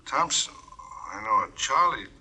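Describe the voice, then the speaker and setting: A gruff male police lieutenant comes over a telephone in a 1950s radio drama. His voice is very sharp and husky, with lively pitch and an offhand air. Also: moderate pace, full character voice.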